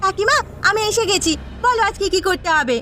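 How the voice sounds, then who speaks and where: A woman's voice: very high voice, lively intonation, a little fast, bright, forceful tone, faint music behind, full character voice. A woman voicing a poor, earnest young village housemaid in a cartoon story, with a plaintive, imploring manner. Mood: anxious. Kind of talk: Bengali cartoon story voice acting.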